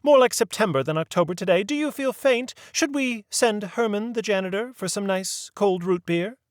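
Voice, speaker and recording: A man's voice: high voice, moderate pace, no echo, studio quality, voice pushed up, natural voice. A man reading aloud and voicing a fussy, genteel older woman with a prim, sweetly proper manner.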